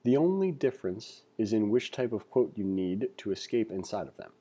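Low, mellow-voiced man teaching. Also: expressive, moderate pace, breathy edge. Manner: informal, thinking aloud. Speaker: programming instructor. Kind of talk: read-along tutorial narration with casual asides. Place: indoors, screen-recording voice-over.

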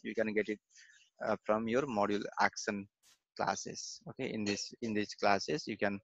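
A man giving a screen-recorded software testing lesson, halting, with many fillers and a habit of saying "okay" and "fine.". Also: lowish voice, steady tone, creaky, dull audio, a little hiss or hum.

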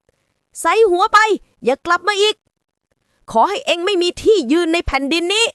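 A woman voicing angry, jeering villagers who shout in a storybook tale. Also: very high voice, lively intonation, normal speed, no room sound, studio quality, pitched above the performer's own voice. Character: angry crowd villagers, jeering and chasing out a cheat.

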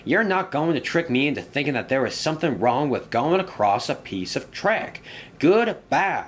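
A man's voice: fairly high pitch, big pitch swings, normal speed, a little hiss or hum, pitched above the performer's own voice. A man voicing a proud, irritable steam engine in a children's story, grumbling and dismissive. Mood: irritated, dismissive. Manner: grumbling, exasperated.

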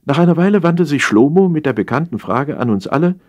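A man narrating a humorous satire from a script as an audiobook reader, wry and expressive. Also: a little high, a little fast, lo-fi sound.

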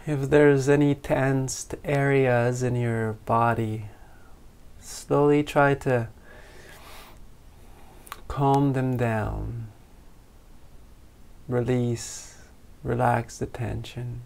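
A man leading a guided meditation with calm, gentle instructions and long pauses. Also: medium pitch, vocal fry, clear, resonant tone. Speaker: meditation teacher.

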